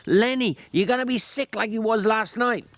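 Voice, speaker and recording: Brief: small, nervy drifter in a storybook reading, played by a man, raised pitch, scolding, sharp, folksy ranch-hand talk. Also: normal speed, no room sound. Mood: sharp, alarmed. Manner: sharp, scolding, rough rural American dialect. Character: small, quick, nervous, sharp-tempered male ranch tramp.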